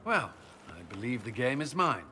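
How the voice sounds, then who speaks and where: A man's voice: mid-range voice, sing-song, moderate pace, bright, forceful tone. An actor playing a reserved, awkward military commander in his thirties in fantasy game dialogue, earnest and a little hesitant. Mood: warm, nostalgic. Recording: game audio with background ambient noise.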